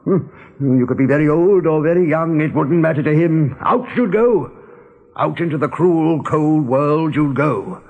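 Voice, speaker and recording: An actor playing a gruff, worldly rabbit guard in a radio drama, telling things in a colloquial, dramatic, sardonic way. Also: male voice, on the high side, unhurried, darkish tone. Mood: grim relish. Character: gruff male rabbit guard, worldly, grimly relishing storyteller.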